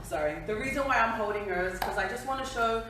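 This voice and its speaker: A woman's voice: fairly high pitch, fast talker, noisy background. Woman, twenties, from New Zealand, chatty speech, distant, very echoey hall. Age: 20s. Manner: chatty, laughing, self-deprecating. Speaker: speaker at a company event. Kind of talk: personal story speech to an audience.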